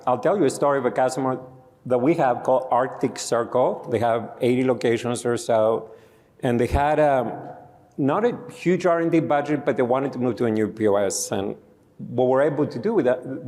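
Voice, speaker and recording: Greek-accented founder on a live panel, explanatory, heard with hall echo. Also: male voice, mid-range voice, darkish tone. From Greece. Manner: explanatory, storytelling. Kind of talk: conference panel discussion. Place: conference stage. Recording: reverberant conference hall.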